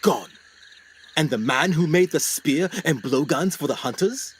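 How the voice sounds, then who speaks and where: An actor playing a haunted, educated explorer doctor in a radio drama, speaking formally and theatrically with grave anxiety. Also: male voice, a little high, animated, unhurried, crowd noise. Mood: urgent, ominous. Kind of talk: dramatic 1920s adventure radio acting.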